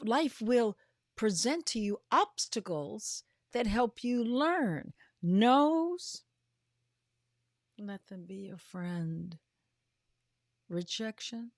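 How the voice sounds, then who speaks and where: A woman in her fifties or older giving a motivational talk as a host, with emphatic, drawn-out delivery that often drops into breathy, hushed phrases. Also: medium pitch, lively intonation, dry recording, clean studio sound. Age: 50s or older. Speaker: podcast host and coach. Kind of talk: motivational livestream talk to followers.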